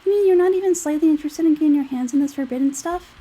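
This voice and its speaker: A woman voicing a squeaky, childlike fairy companion from a video game, curious, blunt and exclamatory. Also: very fast, warm tone, close to an everyday voice. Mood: surprised, unsure. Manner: exclamatory, talks in third person. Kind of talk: video game character dialogue read aloud.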